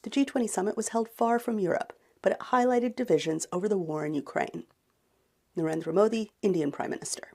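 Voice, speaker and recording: A woman correspondent delivering a measured news report. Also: mid-range voice, darkish tone.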